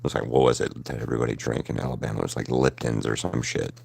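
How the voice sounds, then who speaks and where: A man's voice: a bass voice, steady tone, fast talker, creaky, darkish tone, husky. A man in his thirties with a mild Southern US accent, chatting loosely and rambling while he paints live, cursing casually. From Southern United States. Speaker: artist streaming live.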